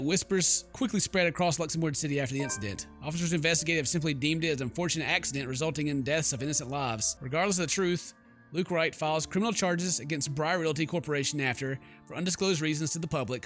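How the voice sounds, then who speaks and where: Bright-voiced man narrating a video game playthrough. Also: on the high side, quick pace. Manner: dramatic storybook reading broken by jokey asides. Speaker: gaming video host. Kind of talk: let's play, reading game text aloud with casual reactions. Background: game music.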